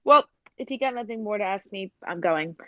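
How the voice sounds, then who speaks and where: A woman plays a blunt, gossipy circus woman in an old-time radio drama. Her delivery swings widely in pitch, and the sound is somewhat dull. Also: expressive, a little fast, dry recording, lightly acted. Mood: defiant. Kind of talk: 1940s radio play acting.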